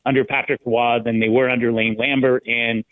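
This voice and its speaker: A male hockey analyst talking on sports radio in a halting, conversational way, restarting phrases as he thinks aloud. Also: medium pitch, level tone. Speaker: sports writer, guest analyst. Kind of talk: hockey talk radio discussion. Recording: thin, phone-like line.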